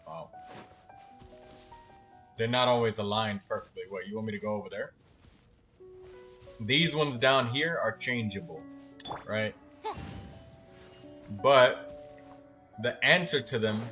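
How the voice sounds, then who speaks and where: A man's voice: on the low side, pushed and bright, lo-fi sound. A man giving casual, instructive gameplay commentary in colloquial language, as a gaming host helping another player. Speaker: livestream game host.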